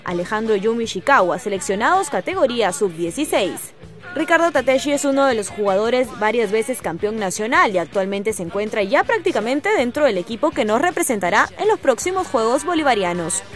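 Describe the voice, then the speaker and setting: A woman's voice: on the high side, animated, a little fast, faint music behind. A woman with a Peruvian accent narrating a scripted television sports report as its reporter.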